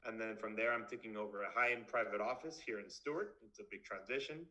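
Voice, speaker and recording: A young man in his twenties, a dentist from Miami, talks to students over a computer mic on a video call. His voice is bright and forceful. He is blunt and straightforward, with plenty of casual fillers. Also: fairly low pitch, fast talker, low-quality recording. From Miami, Florida. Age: 20s. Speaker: dentist giving a talk. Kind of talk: online presentation to students.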